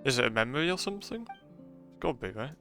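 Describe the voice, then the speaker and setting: A woman gaming streamer with an extremely low, creaky voice. She thinks aloud in quick, casual fragments while game music plays. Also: sing-song, fairly bright tone. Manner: musing aloud in short fragments. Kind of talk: casual live reaction to gameplay.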